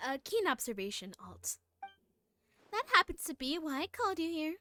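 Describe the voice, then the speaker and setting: A woman voicing a formal, earnest female knight commander from a fantasy game, reading the lines aloud a little haltingly. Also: high voice, sing-song, bright, forceful tone, a little hiss or hum, heavily acted. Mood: solemn. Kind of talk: live read-along of game dialogue.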